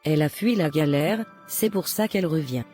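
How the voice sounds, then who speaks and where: A woman playing a mocking, teasing relative in an animated family drama. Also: low voice, moderate pace, soft background music, natural voice. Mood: mocking, amused.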